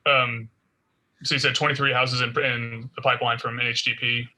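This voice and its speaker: A man's voice: mid-range voice, level tone, normal speed, sharp tone, low-quality recording. A man at a board meeting asking follow-up questions in a plain, questioning way. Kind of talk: meeting discussion.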